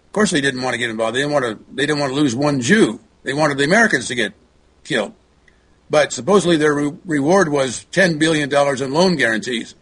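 A man speaking as a talk show guest, halting and repeating words, often clearing his throat. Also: a little high, lively intonation, fairly bright tone.

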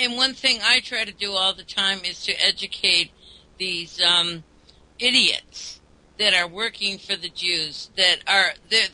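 A man's voice: sits high, sharp tone, low-quality recording. A man hosting a talk show, rambling along with lots of ums and uhs.

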